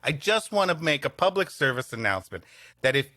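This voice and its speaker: A man's voice: fairly high pitch, very animated, brisk, bright, forceful tone, dry recording, studio quality. A man voicing a chummy talking Thanksgiving dinner in a comic podcast skit, folksy and over-familiar as he delivers public service announcements. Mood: earnest, pleading.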